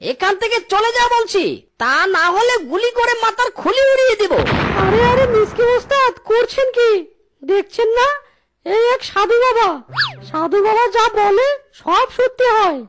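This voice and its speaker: Squeaky, very high-pitched cartoon fox bandit. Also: female voice, animated, normal speed, noisy background. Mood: agitated. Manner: exclamatory, repetitive, pleading and blustering. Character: excitable cartoon fox bandits, blustering but cowardly. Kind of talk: Bengali animated cartoon acting.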